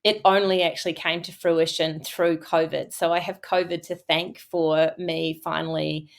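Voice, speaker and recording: A woman's voice: sits low, flat delivery, moderate pace, vocal fry. A woman from New Zealand with a Kiwi accent, talking casually as a podcast guest in a studio, with many fillers and restarts. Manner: chatty, frequent fillers and restarts. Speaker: podcast guest, agricultural photographer. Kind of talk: casual interview talk.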